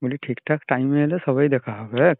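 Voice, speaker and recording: A man's voice: mid-range voice, steady tone, fairly quick, vocal fry, no echo. A man having a casual, private chat over the phone. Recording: tinny, narrow-band, dry phone call recording.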